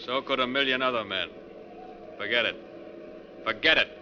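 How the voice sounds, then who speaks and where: An actor playing a surly, defensive bus passenger in a 1940s radio drama, curt and gruffly dismissive. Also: male voice, mid-range voice, normal speed, lightly acted. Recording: dull, noisy 1940s radio recording.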